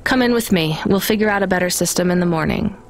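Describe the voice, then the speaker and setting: A woman's voice: on the low side, fast, faint music behind, close to an everyday voice. An actress playing a weary, caring young woman who works as a delivery driver in a video game. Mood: tired. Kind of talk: naturalistic video game acting.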